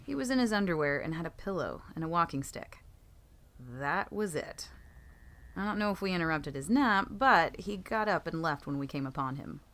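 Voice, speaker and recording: A woman's voice: fairly low pitch, animated, moderate pace, fairly bright tone. A woman reading creepy first-person stories aloud as a narrator, in scripted storytelling style. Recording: clearly noisy background.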